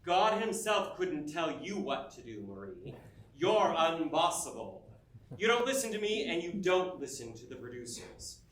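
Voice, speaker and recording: A nagging husband and manager in a stage musical. His voice is high and swings widely in pitch, emphatic and full of complaint. The room around him echoes. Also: very animated, measured pace, a bit bright, slight background noise. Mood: exasperated. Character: exasperated, nagging husband and business manager of a star. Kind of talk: theatrical staged musical reading.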